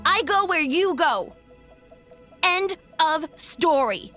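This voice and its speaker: A woman's voice: very high-pitched, lively intonation, takes it slowly, pushed and bright, soft background music, heavily acted. A woman playing a stubborn, playful, devoted young heroine in a fantasy video game. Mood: stubborn, pleading.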